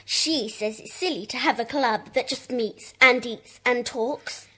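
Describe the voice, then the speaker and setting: High, blunt schoolchild. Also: female voice, a little slow, bright, forceful tone, husky, heavily acted. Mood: opinionated. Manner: matter-of-fact, opinionated. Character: blunt, critical schoolchild in a club. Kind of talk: children's audio drama acting.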